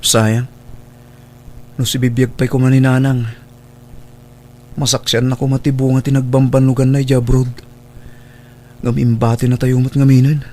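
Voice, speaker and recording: A man's voice: mid-range voice, flat delivery, normal speed, pauses often, dark tone, a little hiss or hum, close to an everyday voice. A man playing a sincere, earnest young adult graduate in a radio drama, with a Filipino accent. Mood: grateful, wistful. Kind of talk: Ilocano radio drama acting.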